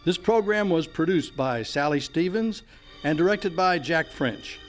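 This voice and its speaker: A man's voice: fairly high pitch, expressive, unhurried, soft background music. An older man reading scripted narration as the announcer of an old-time detective radio play on stage. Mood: announcing. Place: on a stage, live performance. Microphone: microphone on a stand.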